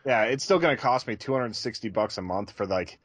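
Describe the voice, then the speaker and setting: A man with a low voice talks candidly in casual podcast conversation. The sound is dry and close, and his delivery is conversational and a little self-deprecating. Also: animated, brisk, fairly bright tone, breathy edge, dry recording. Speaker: podcast co-host.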